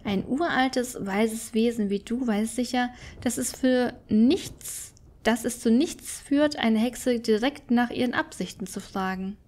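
A small fox-like sage from a fantasy game, read aloud by a woman, talks in a slightly high voice. The creature is courteous and inquisitive, with formal phrasing. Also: a little slow, hardly any pauses, a little mellow, natural voice.

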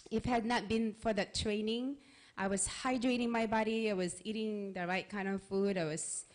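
A woman's voice: mid-range voice, fairly even intonation, moderate pace. A woman speaking earnestly into a handheld mic, sharing a personal testimony before a church congregation. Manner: earnest, conversational, with restarts. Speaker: church member giving a testimony. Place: church sanctuary, in front of a congregation.

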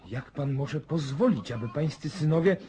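An actor playing a polite, reserved and principled gentleman guest in a radio drama, prim and courteous. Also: a little high, expressive, moderate pace, a little hiss or hum, natural voice. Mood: disapproving. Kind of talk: Polish radio drama acting.

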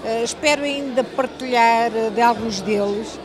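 An older woman speaks in a fairly high voice with a European Portuguese accent. She answers haltingly, with crowd chatter around her in a hall. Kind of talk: interview remarks. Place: indoor reception hall.

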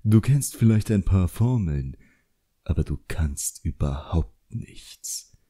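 A man voicing an arrogant, cruel young wizard in an audiobook, speaking slowly and condescendingly and savouring each word. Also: low voice, animated, dark tone, slightly rough, heavily acted. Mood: menacing, quiet. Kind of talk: audiobook character acting.

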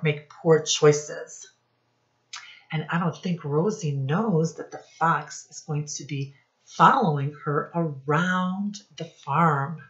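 Middle aged lady teacher talking to little kids, low voice, kinda dull webcam sound. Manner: deliberate, instructive, enunciating for small children. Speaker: early-childhood teacher. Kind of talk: read-aloud lesson addressed to young children. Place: small indoor room with posters.

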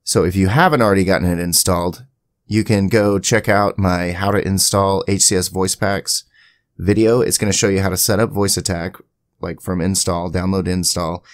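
A man giving a casual software tutorial in a conversational way, with chatty asides, as the creator of the video. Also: sits low, steady tone, moderate pace, no echo, clean studio sound. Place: indoors at a home PC, voice-over to screen capture.

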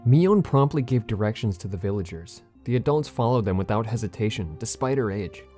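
A man's voice: lowish voice, expressive, a little fast, dark tone, soft background music, close to an everyday voice. A man playing an earnest, guilt-ridden teenage boy, narrating solemnly and introspectively in a visual novel fan dub. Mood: matter-of-fact.

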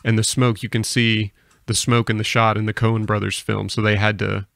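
A man's voice: on the low side, flat delivery, normal speed, gravelly, a bit bright, no room sound. An American man hosting a casual podcast conversation, speaking into a close microphone. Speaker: podcast host.

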